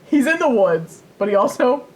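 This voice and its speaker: A woman's voice: on the high side, big pitch swings, normal speed, rough recording. A woman co-hosting a podcast in casual chat, rambling and repeating herself as she tells things. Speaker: podcast co-host.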